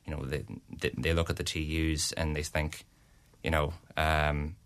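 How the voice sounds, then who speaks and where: A young Irish man in his twenties, a student representative answering interview questions in a halting way, with many restarts and fillers. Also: extremely low pitch, completely flat delivery, fairly bright tone, smooth.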